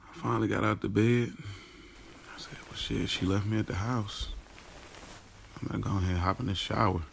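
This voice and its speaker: A man's voice: lowish voice, fairly even intonation, a little fast, gravelly, slight background noise. A man playing a smitten, boastful yet self-questioning guy in an audio drama, telling his story casually and in slang, straight to the listener. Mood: bemused. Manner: casual, slangy, confiding, addresses listeners directly. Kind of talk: first-person audio drama monologue, conversational storytelling.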